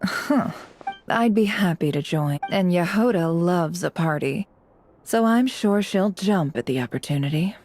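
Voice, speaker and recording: A woman's voice: lowish voice, lively intonation, unhurried, darkish tone, a little hiss or hum. A woman playing a cool, composed scholar in a fantasy game. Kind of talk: game voice acting. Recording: game music behind the voice.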